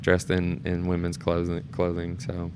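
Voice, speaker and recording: Low-voiced man telling stories. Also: measured pace. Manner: animated storyteller, acts out reactions. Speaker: guest, amateur stage performer. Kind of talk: casual storytelling chat. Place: temporary studio in a theatre. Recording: clear background noise and room ambience.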